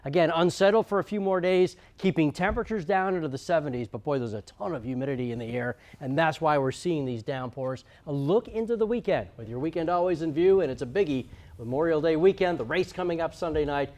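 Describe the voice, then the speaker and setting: A man with an American accent and a fairly high-pitched voice gives a broadcast weather forecast. He talks viewers through it in a conversational on-air style. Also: fast talker, few pauses, darkish tone.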